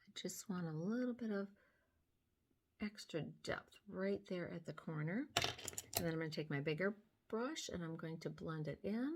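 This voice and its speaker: An older woman with a slightly hoarse voice, gently talking through a makeup tutorial step by step, filmed close on a phone at home. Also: on the low side, expressive, moderate pace. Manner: gentle, step-by-step explaining while applying makeup. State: hoarse from sinus trouble. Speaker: beauty vlogger. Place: small room at home, indoors. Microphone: phone camera close up.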